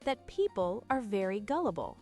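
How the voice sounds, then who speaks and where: A woman's voice: medium pitch, sing-song, moderate pace, a little mellow, faint music behind, close to an everyday voice. A woman reading as a patient, clever female spider, thoughtful and sure of herself, in a storybook. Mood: thoughtful, assured. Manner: thoughtful, assured.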